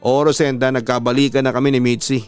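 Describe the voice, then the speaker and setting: A man's voice: medium pitch, little pitch movement, quick pace, clear, resonant tone, soft background music, lightly acted. A man as a guilty, evasive young boyfriend. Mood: guilty, sad.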